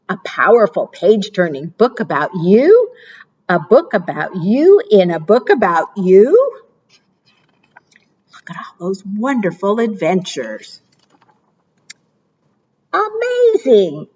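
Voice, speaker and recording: A woman librarian reading picture books aloud to preschoolers, with expressive, animated delivery, asking the children questions and praising their answers. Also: muffled recording. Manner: expressive, animated read-aloud with playful pitch jumps.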